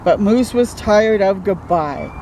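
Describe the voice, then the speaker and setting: A woman reading a children's picture book aloud as a storytime host, expressive and chatting to young listeners. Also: mid-range voice, slow, slight background noise, low-quality recording. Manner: expressive, interjects comments and questions to young listeners.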